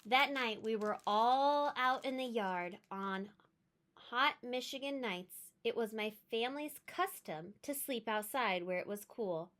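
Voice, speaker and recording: A woman narrates a children's picture book in a bright, fairly high voice. She takes her time and leans into the words with animated, expressive emphasis. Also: a little slow. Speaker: read-aloud storyteller, teacher.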